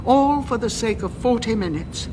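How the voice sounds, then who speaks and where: Woman playing a bossy older British airline boss, lively pitch. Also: normal speed, close to an everyday voice. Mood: wheedling. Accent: British English. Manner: imperious, caustic, wheedling. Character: bossy, callous, sharp-tongued older woman airline owner. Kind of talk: British radio sitcom acting. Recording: reverberant radio recording with background noise.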